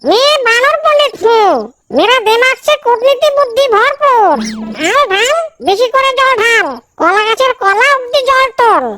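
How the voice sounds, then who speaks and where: Man voicing a pompous, high-pitched cartoon monkey. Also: normal speed, slight background noise. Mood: boastful scheming. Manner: pompous, exclamatory. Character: boastful, scheming monkey schemer with mock-learned airs. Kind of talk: exaggerated children's cartoon acting. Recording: loud music behind the voice. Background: loud children's cartoon music.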